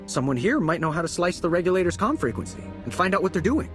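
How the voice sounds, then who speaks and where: An actor playing an excitable, earnest young accountant turned fighter in a video game, eager and breathless in manner. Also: male voice, high voice, fast, over music. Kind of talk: video game cutscene acting.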